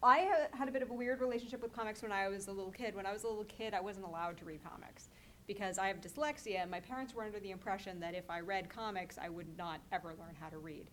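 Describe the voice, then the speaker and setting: A young woman gives a lively, chatty talk about comics at a middle pitch. She turns to the audience often and speaks to them directly. A faint noise sits under her voice. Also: fast talker. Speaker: comics creator.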